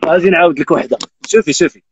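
A man's voice: fairly high pitch, racing, dull audio, background noise. A Moroccan man in his thirties hosting a live stream, teasing and telling jokes with frequent oaths. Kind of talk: joking casual chat. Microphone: phone on live video call.

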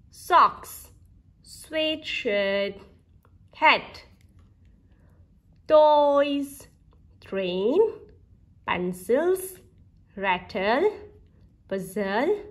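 A woman presenting a read-aloud for toddlers, saying single words and short phrases deliberately, with pauses between them. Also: fairly high pitch, fairly bright tone.

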